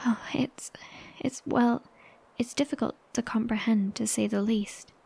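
An actress playing a compassionate, sombre woman broadcaster in a horror audio drama, speaking softly and breathily, with gentle hesitation. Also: on the high side, fairly even intonation, measured pace, gravelly, slightly rough, heavily acted. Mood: sombre, unsettled.

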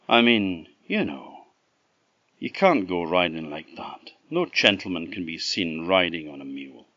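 The Green Man of Knowledge, a proud and stubborn magical being, as a male storyteller voices him. He speaks in a firm, insistent way, with a low voice that moves a lot. The sound is dry and slightly dull. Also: very animated, unhurried, a bit bright, dry recording, heavily acted.

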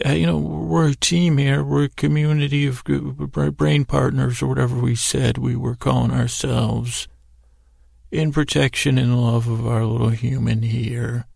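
A man hosting a sleep podcast with a whimsical, meandering bedtime monologue, stammering and repeating words as he goes in a gravelly, creaky voice. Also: medium pitch, no room sound, clean studio sound. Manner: whimsical, gently addressing imagined listeners. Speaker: podcast host.

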